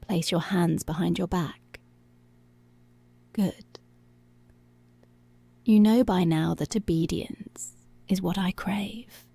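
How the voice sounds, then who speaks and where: A woman playing a commanding, seductive policewoman in an intimate ASMR roleplay, speaking slowly and deliberately in a breathy voice that often drops to a whisper. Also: lowish voice, dark tone, no room sound, full character voice. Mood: controlling, possessive. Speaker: ASMR voice actor.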